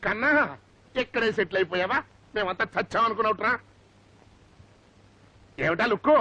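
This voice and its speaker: A man playing an agitated, indignant middle-aged uncle in a film comedy, loud and exclamatory. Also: sits high, brisk, full character voice. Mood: indignant, threatening. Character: agitated, blustering comic uncle and aggrieved father. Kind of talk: Telugu film comedy acting. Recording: dull lo-fi film soundtrack, faint music.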